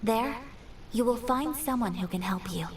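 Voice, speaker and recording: Woman acting young noblewoman, highish voice, formal, measured, grave, courteous, fantasy game, slightly distant sound. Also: animated, a little hiss or hum, natural voice. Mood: resolute, polite. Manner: formal, measured, precise diction.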